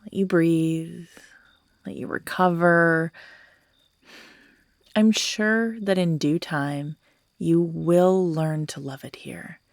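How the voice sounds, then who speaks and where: A woman acts out an obsessive yandere lover in an intimate audio roleplay. The voice is low-leaning and slow, with creaky edges and frequent breathy whispers. She coos with tender menace, halting as if barely holding herself together. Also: fairly even intonation, dry recording, studio quality. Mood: manipulative, lovestruck. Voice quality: breathy, often dropping into whispers. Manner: slow, halting, cooing, broken by giggles and sighs.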